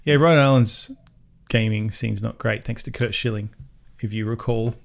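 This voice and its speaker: A man with a mild Australian accent and a gravelly, fry-tinged voice, talking casually on a podcast. He is relaxed and self-mocking, and he trails off and starts his sentences again. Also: fairly low pitch, moderate pace. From Western Sydney, Australia. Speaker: podcast co-host. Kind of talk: casual chat.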